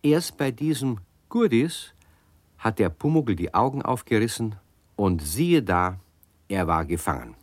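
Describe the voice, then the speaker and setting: Deep-voiced man narrating a children's story. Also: big pitch swings, unhurried, no room sound. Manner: warm, cozy storyteller, playful emphasis.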